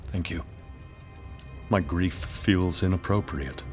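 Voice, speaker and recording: Give a brooding young aristocrat, guarded and melancholic. His low voice is grave and formal in short, terse lines, with faint gothic drama music beneath. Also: takes it slowly. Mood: mournful. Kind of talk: gothic audio drama acting.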